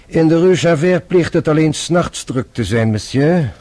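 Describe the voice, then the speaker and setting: An actor playing a shrewd French police inspector in a radio drama, formal, measured and politely probing. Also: male voice, fairly high pitch, animated, darkish tone. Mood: businesslike.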